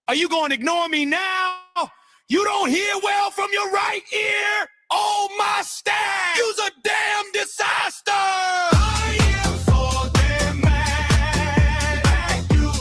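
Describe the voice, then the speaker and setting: A man voicing a loud, sassy woman passenger who scolds her taxi driver in brassy, sing-song outbursts. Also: very high voice. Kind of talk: comedy skit.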